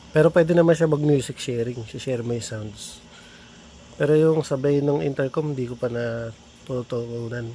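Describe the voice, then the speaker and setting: A man with a Filipino accent giving a casual hands-on product demonstration in an informal, halting, think-aloud way. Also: mid-range voice, mellow. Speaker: product tutorial presenter. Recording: clearly noisy background.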